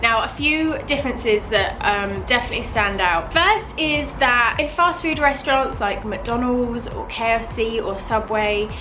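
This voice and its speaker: A young British woman in her twenties, talking to camera in a casual, animated vlog and using "like" a lot. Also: fairly high pitch, a little slow, hardly any pauses. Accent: British English. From United Kingdom. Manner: chatty, animated, fills with "like". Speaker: vlogger. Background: background music.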